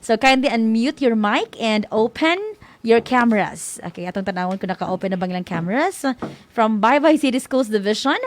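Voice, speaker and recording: A woman's voice: a little high, animated, a little fast, slight background noise. A woman with a Filipino accent co-hosting a school program in a casual, encouraging way. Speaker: co-host of a school-on-the-air program.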